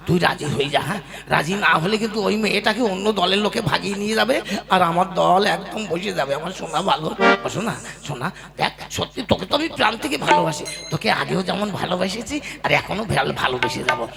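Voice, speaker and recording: Over clear background music comes a man acting an ageing husband in a folk puppet comedy. The voice is high for a man and heavily creaky. He schemes and wheedles with exaggerated, folksy sweet talk as a singing-troupe master. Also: expressive, fast. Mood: pleading.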